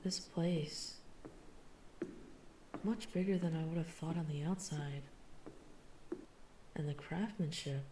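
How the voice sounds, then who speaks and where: A woman playing a guarded, weary vampire hunter who is herself a vampire, speaking in a measured, serious way in an ASMR roleplay. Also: low-pitched, noisy background. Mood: hushed wonder. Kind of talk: ASMR roleplay audio drama acting.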